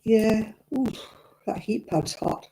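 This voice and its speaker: An older woman with a croaky voice, chatting and rambling to viewers while she knits on a live stream. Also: a little high, takes it slowly, dark tone. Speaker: crafting livestream host. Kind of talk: casual chat to live viewers. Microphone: own simple mic, live stream. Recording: crackly audio.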